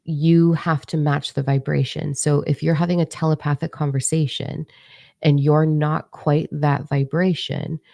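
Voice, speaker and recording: A woman with a low, gravelly voice, her first language Italian, talks in a loose, rambling monologue full of ums and self-corrections. Her tone is dark and mellow, and the close, dry recording has no room sound. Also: level tone, measured pace, no echo. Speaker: spiritual channeler. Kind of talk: casual monologue to camera.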